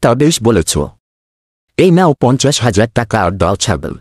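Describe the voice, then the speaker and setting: A man's voice: mid-range voice, very animated, normal speed, husky, no echo, clean studio sound. A man voicing a gullible, self-important older village uncle in a comedy cartoon, with a pompous, satisfied manner. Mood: pleased, proud.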